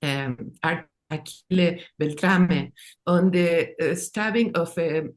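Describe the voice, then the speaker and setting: A woman, not an English speaker, presenting her own work in a halting, informal way, repeating words. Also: fairly low pitch. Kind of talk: informal artist talk and reading.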